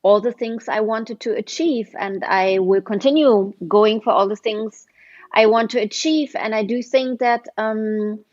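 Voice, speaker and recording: Slow, thoughtful forty-year-old woman. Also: a little high, a little mellow, dry recording, highs cut off. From Berlin, Germany. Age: 40s. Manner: thoughtful, with frequent hesitations. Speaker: guest, writer. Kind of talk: casual interview answers.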